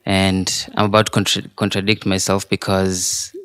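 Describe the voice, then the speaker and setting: Low, monotone man reflecting earnestly. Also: normal speed, clean tone. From Africa. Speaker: sound designer and artist. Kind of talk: personal reflection in a group discussion.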